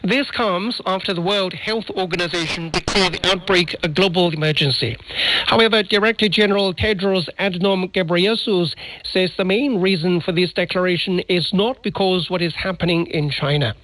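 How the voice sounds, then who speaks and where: A man's voice: high voice, moderate pace, a bit bright, rough recording. A man reading scripted news as a radio anchor, heard over a shortwave broadcast.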